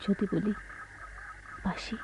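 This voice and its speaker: A woman's voice: slow pace, noisy background, lightly acted. An actress playing a proud, tormented married woman in a Bengali radio drama, with theatrical, emotionally charged delivery. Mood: tender, conflicted.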